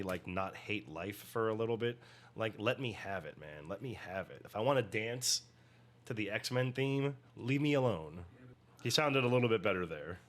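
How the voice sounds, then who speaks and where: A man in his forties reacting to a show with loose, energetic, profane chatter. His voice sits on the lower side and he laughs easily. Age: 40s. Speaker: reaction video host. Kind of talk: casual reaction commentary.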